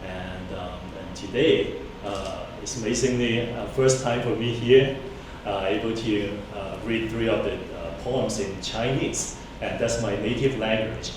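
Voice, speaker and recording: A man from Hong Kong whose first language is Cantonese introduces himself at a reading, speaking politely and haltingly with many fillers. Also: lowish voice. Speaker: engineer and songwriter reading poems. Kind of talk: event introduction. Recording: distant, echoey, noisy room recording.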